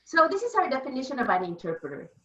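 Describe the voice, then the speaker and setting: Female presenter, 50, Mexican accent, native Spanish speaker, fairly high voice, informal training talk, dull lo-fi sound. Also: big pitch swings, fast talker, a bit bright, slightly rough. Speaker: interpreter giving a training presentation.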